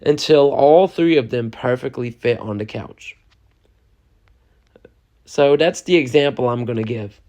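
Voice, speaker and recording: A young man, a music producer, giving a loose, chatty step-by-step software lesson and often checking in with the listener. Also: medium pitch, a little slow, long pauses. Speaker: music producer teaching a tutorial. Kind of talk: casual step-by-step software tutorial.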